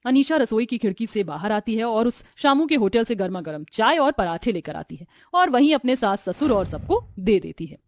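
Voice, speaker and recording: Woman reading out a family story as narrator, high voice, very dry close sound. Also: big pitch swings, moderate pace, hardly any pauses, no echo.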